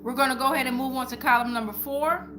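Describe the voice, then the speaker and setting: A woman's voice: high-pitched, steady tone, fast talker, music in the background. A woman host giving an informal spiritual tarot reading to viewers, speaking colloquially and repeating phrases like incantations. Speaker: tarot reader and channel host.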